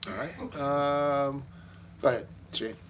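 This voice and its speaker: A man's voice: mid-range voice, takes it slowly, slight background noise. A man moderating a campus talk, calling on students with brief prompts. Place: university event room.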